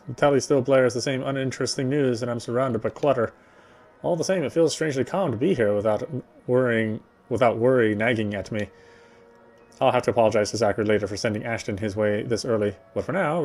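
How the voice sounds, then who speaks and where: A man's voice: mid-range voice, a little mellow. A man reading a visual novel's story text aloud as he plays, sometimes stumbling and rereading phrases. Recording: faint game music behind.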